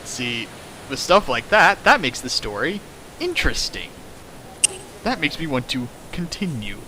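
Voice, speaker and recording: A man's voice: mid-range voice, sing-song, a little slow, crowd noise, low-quality recording. A young man doing a comedic dramatic reading of a fan fiction, jokey and sarcastic, laughing between lines. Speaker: reader and riffer of a fan fiction.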